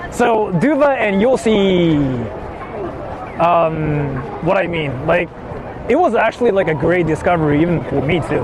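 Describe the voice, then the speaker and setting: A man's voice: high-pitched, expressive, measured pace. A man livestreaming casually while riding through a city, rambling with lots of fillers and switching between English and Japanese. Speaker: IRL livestreamer. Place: outdoors on city streets. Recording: noisy outdoor street stream.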